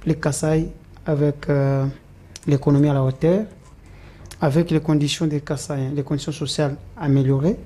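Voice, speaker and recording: A man's voice: on the high side, flat delivery, normal speed, dark tone. A man answering interview questions, hesitant and full of "euh" fillers and restarts. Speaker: political supporter interviewed as guest.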